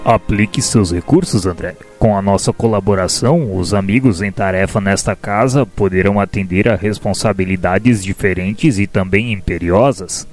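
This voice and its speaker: Actor as resolute spirit mentor, lowish male voice, formal and instructive, encouraging, radio drama, clear music behind. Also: moderate pace, few pauses, lightly acted. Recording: prominent background music.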